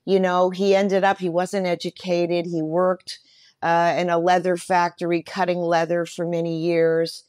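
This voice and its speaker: A woman in her sixties speaking candidly and reflectively as an interview guest, with measured emphasis. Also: lowish voice, monotone, a little mellow, dry recording, clean studio sound.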